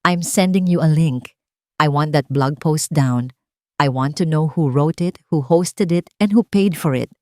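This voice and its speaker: A woman's voice: low voice, measured pace, darkish tone, dry recording, clean studio sound. A woman with a Filipino accent voicing a protective, authoritative wealthy man in a romantic story. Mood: cold, commanding. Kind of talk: romantic audio drama storytelling.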